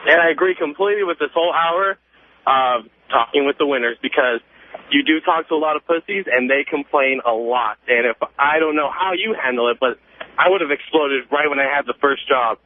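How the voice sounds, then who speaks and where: A man's voice: on the high side, brisk. A nineteen-year-old American man calling a radio show, chatting casually in a cocky, emphatic, rambling way. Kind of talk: casual phone-in chat. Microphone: telephone. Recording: narrow noisy phone line on radio.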